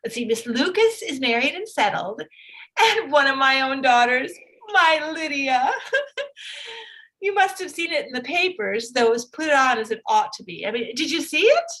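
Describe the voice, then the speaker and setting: Shrill, fussy society mother. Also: expressive, normal speed, pushed and bright. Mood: gushing. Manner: effusive, dramatic, chattering with gasps. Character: fussy, gushing middle-aged society mother. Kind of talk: theatrical staged reading of period drama.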